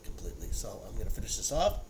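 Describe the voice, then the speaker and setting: A man's voice: lowish voice, big pitch swings, very fast, darkish tone, a little hiss or hum. Congested man in his sixties, rambling. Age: 60s. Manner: rambling, repeats words, many fillers. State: congested, sniffly. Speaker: whiskey review vlogger. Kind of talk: casual solo tasting chat. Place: at home in his armchair.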